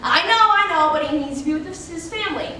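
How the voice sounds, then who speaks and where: An actress playing a wry, kindly schoolteacher in a theatrical live reader's theater performance. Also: female voice, very high-pitched, fast talker. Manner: animated, theatrical. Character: wry, kindly young schoolteacher chatting with coworker and children. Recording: echoey hall, distant mic, faint noise.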